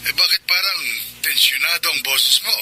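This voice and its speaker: A man's voice: on the high side, normal speed, bright, forceful tone, a little hiss or hum. An actor playing a concerned middle-aged father on a phone call, questioning in a fatherly way, in a Tagalog radio drama style.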